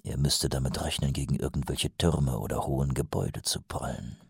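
A weary, suspicious captain who mutters low and coolly, as a male narrator puts him on. The voice sits very deep and husky. Dry, close storybook recording. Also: fairly even intonation, brisk, a little mellow, no room sound, exaggerated voice. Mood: brooding.

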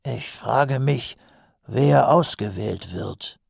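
A man voicing a shifty, cowardly little city watchman in an audiobook, with a raspy, croaky voice and a hesitant, wheedling manner. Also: medium pitch, very slow, a little mellow, no echo, clean studio sound, voice pushed up, full character voice. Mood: curious, musing. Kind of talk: audiobook character voice.

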